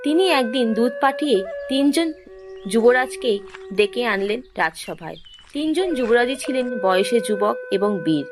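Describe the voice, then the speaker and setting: High-voiced woman storyteller over music. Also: lively intonation, unhurried. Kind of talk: scripted fairy tale narration. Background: background music.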